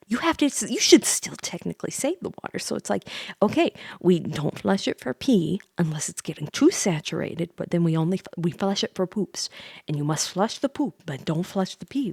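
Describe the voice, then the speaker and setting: A woman's voice: lowish voice, animated, moderate pace, vocal fry, slightly rough, no echo. A woman hosting a livestream, chatting casually with viewers in a playful, giggly, rambling, slightly tipsy way. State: tipsy from drinking wine. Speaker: livestream host, VTuber.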